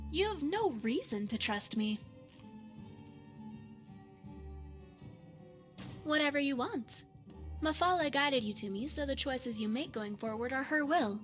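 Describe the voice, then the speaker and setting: Woman playing a high-voiced, poised, secretive spy. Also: a bit bright. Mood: sly, composed. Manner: measured, formal, coy, deliberate. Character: poised, secretive female retired spy mage, devout and evasive. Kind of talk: scripted fantasy game character dialogue.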